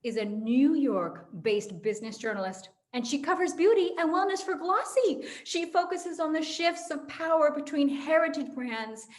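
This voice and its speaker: Theatrical American woman with a high voice. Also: moderate pace, hardly any pauses. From Los Angeles, United States. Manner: theatrical, emphatic. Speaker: moderator of a panel event. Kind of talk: hosting an online panel, introducing guests. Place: online video call.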